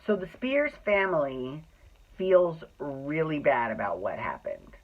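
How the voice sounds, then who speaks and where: Guy doing a smooth-talking dealmaker in a story, reassuring, deliberate, thin phone-like audio. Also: a little high, big pitch swings, a little hiss or hum. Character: persuasive male music-business go-between making a generous offer.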